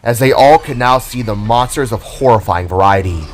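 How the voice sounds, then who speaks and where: A man's voice: mid-range voice, normal speed, slight background noise. A man narrating a scripted audio drama in a solemn, theatrical manner.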